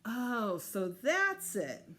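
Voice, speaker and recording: Earnest storybook big brother, voiced by a woman reader, schoolboy, direct, raised expressive pitch. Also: very slow, full character voice. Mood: uneasy. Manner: direct, questioning.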